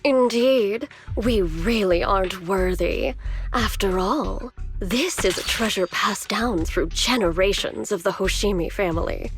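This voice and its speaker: A woman's voice: medium pitch, measured pace, soft background music, close to an everyday voice. A woman playing a smug, scheming villainess in a video game, theatrical and taunting, with cool menace. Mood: mocking. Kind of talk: theatrical scripted game dialogue.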